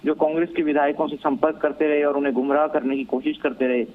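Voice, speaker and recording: An Indian man reporting live by telephone as a news correspondent, analysing events in deliberate, halting phrases. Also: fairly high pitch, little pitch movement. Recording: narrow, tinny phone line.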